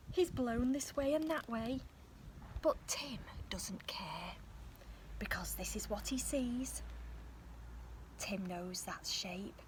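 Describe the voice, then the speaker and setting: A woman reading a children's picture book aloud outdoors in a park, in a soft, breathy storytelling voice with gasps and sudden shouts. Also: mid-range voice, background noise. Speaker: storybook reader.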